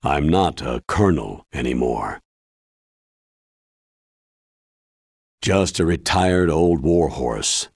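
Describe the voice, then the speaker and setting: Aging ex-army officer for a game: low, rough-edged American voice, solemn and sincere, dry studio sound. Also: male voice, expressive, darkish tone, no echo, full character voice. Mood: resigned. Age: older. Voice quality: deep husky. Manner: grave, measured, earnest. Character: weary, earnest old retired male military commander. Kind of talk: video game cutscene acting.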